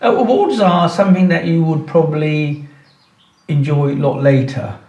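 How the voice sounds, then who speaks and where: An older man, born in Pakistan and raised in England, talks earnestly as an interview guest, stumbling and restarting his sentences. His voice sits a little high for a man. He is picked up from some distance in an echoey room. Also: a little mellow, lo-fi sound. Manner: earnest, halting, frequent restarts and repeated words. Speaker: football club chairman, guest. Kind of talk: casual interview answers. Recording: roomy echo, mic at a distance.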